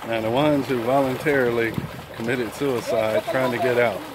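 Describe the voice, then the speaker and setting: Very loud wind and ambient noise surrounds a man with a mid-pitched voice. He narrates casually and off the cuff, like someone filming a vlog on his phone outdoors. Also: fairly even intonation, moderate pace. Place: outdoors on the bank of a fish pond. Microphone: handheld phone, built-in microphone.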